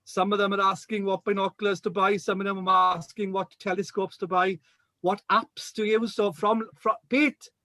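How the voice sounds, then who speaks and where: Halting Welsh man, higher voice. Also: flat delivery, sharp tone, dry recording. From Wales. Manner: hesitant, repeats words often. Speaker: guest. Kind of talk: casual chat on a radio show. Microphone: video call.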